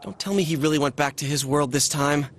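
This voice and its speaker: A man's voice: on the high side, brisk, noisy background, close to an everyday voice. A man voicing an earnest, restless teenage boy in a video game dub, casual and questioning. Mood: worried.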